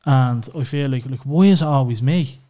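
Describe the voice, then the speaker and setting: A man's voice: mid-range voice, normal speed, mellow. An Irish man opening up as a podcast guest, talking frankly about family and swearing casually, with lots of "you know" filler. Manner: open and emotional, swears freely, many "you know"s. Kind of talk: candid personal conversation.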